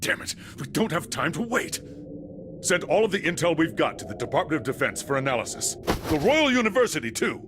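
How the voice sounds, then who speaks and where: Commanding male military chief for a game, highish voice, very animated, short-tempered, barking orders. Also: brisk, background noise. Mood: agitated. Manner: urgent, authoritative, barking orders. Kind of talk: video game cutscene acting.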